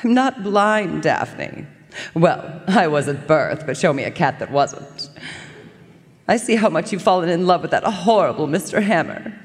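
A woman's voice: lowish voice, big pitch swings, unhurried. A woman playing a jealous, melodramatic pet cat, theatrical and plaintive, in a live radio drama. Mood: bitter, self-pitying. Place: performance hall stage. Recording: reverberant concert hall stage.

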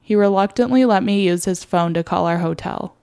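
A woman's voice: medium pitch, brisk, gravelly, darkish tone, clean tone. A young American woman reading a scripted personal story as its narrator.

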